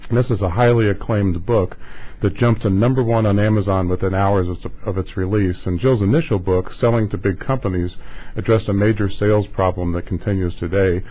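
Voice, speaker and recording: Man, low pitch, interview host, conversational, long meandering delivery. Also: mellow, rough recording.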